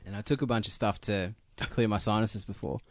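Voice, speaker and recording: A man's voice: on the low side, fairly even intonation, fairly quick, no echo. A man with a head cold, sounding nasal and snuffly as he co-hosts a casual podcast chat with wry asides. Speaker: podcast co-host.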